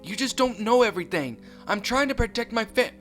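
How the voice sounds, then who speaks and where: A man's voice: very high-pitched, expressive, a little fast, fairly bright tone, soft background music, voice pushed up. A man doing a frantic pleading cartoon boy. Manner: desperate, pleading. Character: panicked young boy pleading with his mother.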